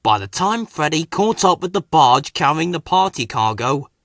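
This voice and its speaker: A man's voice: a little high, big pitch swings, moderate pace, fairly bright tone, no echo, clean studio sound. A man reading a scripted children's story as its narrator.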